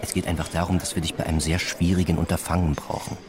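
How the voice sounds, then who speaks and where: An actor playing a coaxing, matter-of-fact police officer in a German radio drama. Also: male voice, sits low, level tone, quick pace, a crowd in the background. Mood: matter-of-fact persuasion. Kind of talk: German radio play acting.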